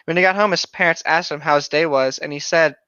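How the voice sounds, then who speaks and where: A man's voice: fairly high pitch, fast, sharp tone, clear, resonant tone, no echo. A man co-hosting a podcast, reading a story aloud with playful, sweary asides, recorded on a slightly muffled microphone. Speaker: podcast co-host reading horror fiction aloud.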